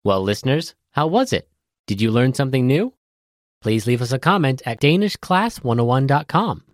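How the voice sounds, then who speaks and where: A man hosts an instructional audio lesson with scripted delivery. His intonation is lively and varied, at a middling pitch. The sound is close and dry. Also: normal speed, dry recording. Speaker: language lesson host.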